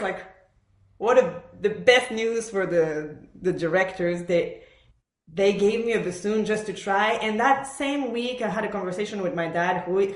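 Woman telling stories in an echoey room. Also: mid-range voice. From born in Argentina, raised in Montreal, Canada. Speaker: podcast guest, professional orchestral bassoonist. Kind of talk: conversational interview storytelling.

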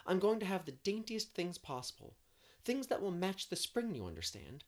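A dreamy young storybook heroine, voiced by a woman narrator. She talks effusively and poetically, with a very animated melody. Her phrases are emphatic and full of romantic eagerness. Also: fairly low pitch, moderate pace, pitched above the performer's own voice. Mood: excited, earnest. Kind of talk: audiobook character reading.

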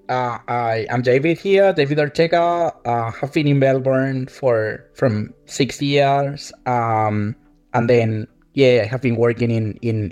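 A man's voice: mid-range voice, darkish tone. A man speaking as a panel guest, hesitant and often repeating words as he gathers his thoughts. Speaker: guest, software engineering manager. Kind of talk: casual panel discussion.

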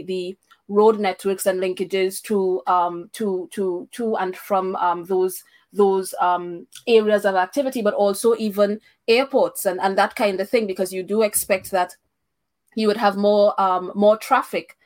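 A woman from Trinidad and Tobago hosting a discussion, hesitant, with many pauses and restarts. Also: mid-range voice, fairly even intonation, darkish tone. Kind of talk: casual discussion.

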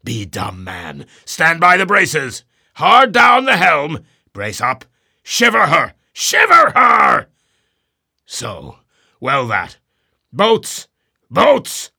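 A man voicing an obsessed, commanding old sea captain who shouts orders across the deck. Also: on the low side, very animated, slow pace, hardly any pauses, husky, heavily acted.

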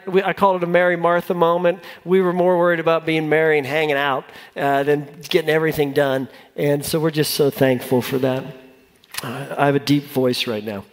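High-voiced male pastor in a reverberant hall. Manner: informal, repeats phrases for emphasis, asks listeners questions. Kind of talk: conversational sermon. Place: large church hall with a congregation.

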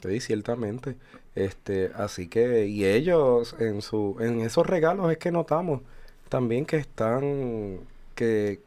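A man's voice: mid-range voice, slow, warm tone. A man in his forties co-hosting a religious radio chat, explaining thoughtfully with hesitant fillers. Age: 40s. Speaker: radio show co-host.

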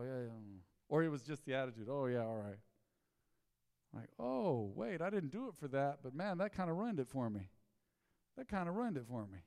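A man, preaching a sermon in a folksy storytelling way, dropping to low asides and rising to loud emphatic bursts. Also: in a large hall. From Texas. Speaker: pastor. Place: church sanctuary.

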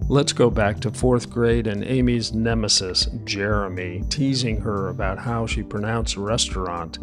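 A man hosting a podcast, narrating between interview clips in a measured, even way. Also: lowish voice, music in the background.

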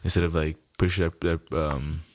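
A man with a very low voice shoots the breeze on a podcast, slangy and laid-back, laughing easily as he spins a story. The sound is dry and close, with no room around it. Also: flat delivery, a little fast, darkish tone, no echo. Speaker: podcast co-host. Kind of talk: casual chat.

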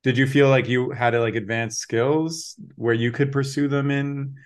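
A man's voice: mid-range voice, steady tone, measured pace. A man in his thirties hosting a podcast interview, asking long, rambling questions and affirming the guest. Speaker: podcast host. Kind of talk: casual interview chat.

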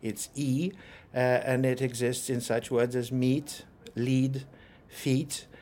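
A man, a lecturer and linguist, explaining pronunciation in a measured, precise way with pauses and fillers. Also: mid-range voice, little pitch movement, warm tone. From Ukraine. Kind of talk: explanatory interview answers.

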